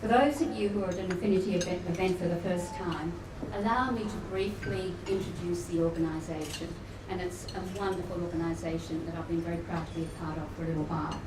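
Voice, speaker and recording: A woman welcoming guests at a luncheon, formal but with warm chatty asides. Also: on the low side, fairly even intonation, quick pace, hardly any pauses. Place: function room at a luncheon event. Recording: echoey room, distant mic, noisy.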